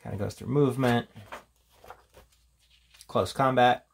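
A man's voice: mid-range voice, unhurried, vocal fry, a little hiss or hum. A man giving a casual, unscripted, rambling commentary as a tabletop game reviewer, reading out headings between offhand remarks.

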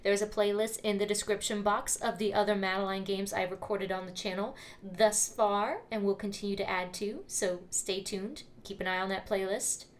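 A woman with an enthusiastic, friendly manner doing an upbeat channel intro as a let's play host. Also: medium pitch.

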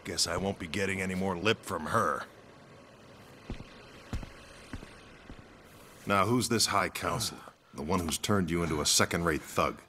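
An actor playing a cocky, wisecracking superhero in a video game, sardonic and punchy. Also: male voice, low-pitched, fairly quick, pushed and bright, a little hiss or hum. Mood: taunting. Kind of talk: dramatic video game acting.

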